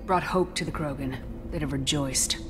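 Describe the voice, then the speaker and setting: Woman playing lower-voiced, tough female commander. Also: moderate pace, lightly acted. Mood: accusing. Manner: direct, probing. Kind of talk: video game dramatic dialogue.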